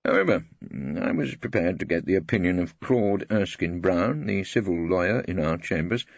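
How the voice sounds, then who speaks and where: A man performs an old English barrister telling his story for an audiobook. The voice sits low and husky, swooping with wry, pompous relish. The sound is slightly dull. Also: expressive, normal speed, dry recording, full character voice. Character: weary, witty old English barrister, grumbling narrator. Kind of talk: scripted first-person audiobook narration.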